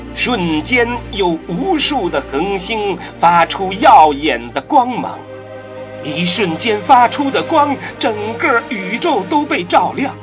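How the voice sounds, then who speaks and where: A man narrating a science-fiction radio drama in a solemn, dramatic manner with weighty pauses. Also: high-pitched. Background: prominent music.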